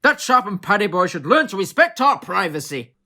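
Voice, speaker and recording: A woman's voice: medium pitch, big pitch swings, a little fast, sharp tone, a little hiss or hum. A woman playing a pompous, exasperated family man and local politician in a comedy audio drama. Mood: grumpy. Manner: blustering, exasperated. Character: pompous, grumpy husband and father, local politician. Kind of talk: hobby comedy murder-mystery audio drama.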